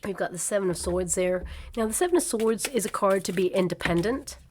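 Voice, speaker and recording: A woman giving a gentle tarot reading to camera, her voice a little croaky from a cold, speaking in a soothing way with some ums and uhs. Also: mid-range voice, lively intonation, fairly quick, slight background noise. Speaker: tarot reader.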